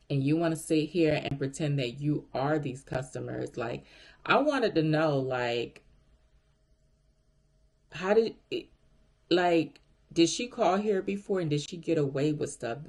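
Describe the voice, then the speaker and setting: A man telling a story casually as a vlogger, speaking straight to viewers and acting out the dialogue as they go. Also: fairly high pitch. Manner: conversational, addresses viewers directly, acts out quoted dialogue. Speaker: vlogger recounting a work story.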